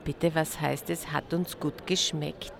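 A woman interviewer asks passersby friendly, unhurried questions. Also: deep voice, fairly even intonation, creaky.

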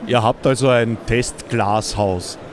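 A man's voice: mid-range voice, fairly even intonation, slow pace, crowd noise. A man interviewing exhibitors at a fair with brief, direct questions. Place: busy indoor fair hall.

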